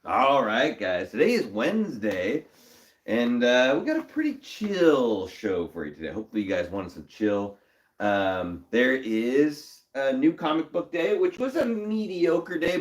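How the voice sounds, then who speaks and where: Hoarse upbeat man hosting a live stream. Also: lowish voice. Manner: upbeat, greets viewers by name. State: a little hoarse from a cold. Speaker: host. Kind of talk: casual live-stream chat.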